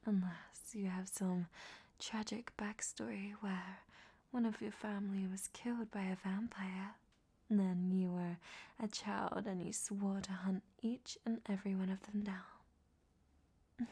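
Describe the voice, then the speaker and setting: A woman playing a flirtatious, teasing captive vampiress in a breathy, sultry ASMR roleplay whisper. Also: mid-range voice, dark tone. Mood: teasing, curious.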